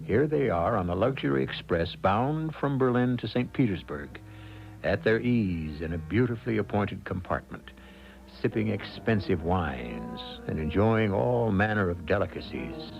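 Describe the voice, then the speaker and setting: A man hosting a 1970s radio suspense drama, narrating from a script in a theatrical, deliberate manner. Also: on the low side, animated, creaky. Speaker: host and narrator. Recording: dull vintage radio, prominent music.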